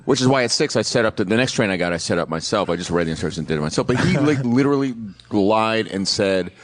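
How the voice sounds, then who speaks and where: A man's voice: on the low side, animated, fast. A man telling a personal story in a casual, comic way among other people.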